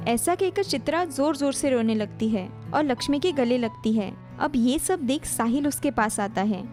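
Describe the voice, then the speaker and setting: High-pitched woman narrating a story, music behind. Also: expressive, normal speed, darkish tone.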